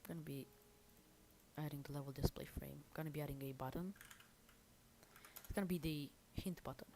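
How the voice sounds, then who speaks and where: A woman's voice: deep voice, normal speed, darkish tone, slight background noise. A woman casually chatting on a livestream as she works, thinking aloud in an informal, self-deprecating way. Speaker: livestreamer and hobby game developer. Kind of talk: casual livestream chat while working. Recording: music and typing behind the voice. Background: background music, keyboard typing.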